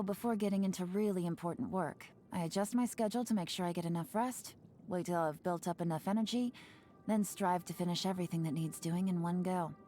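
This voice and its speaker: A woman voicing a sly, laid-back young woman spy for a video game, speaking in a smooth, teasing, unhurried way. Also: fairly low pitch, dark tone, lightly acted. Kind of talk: video game character voice lines.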